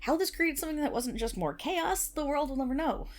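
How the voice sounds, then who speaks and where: A woman's voice: high voice, animated, a little fast. A woman playing a nerdy, playful best friend in an ASMR roleplay, rambling casually to the listener with wry asides and self-interruptions.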